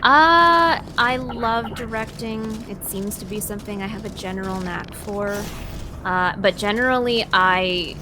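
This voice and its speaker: A woman's voice: medium pitch, measured pace, noisy background. A woman being interviewed as a voice actor, chatting casually while playing a game. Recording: game music and sounds behind. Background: video game audio and music.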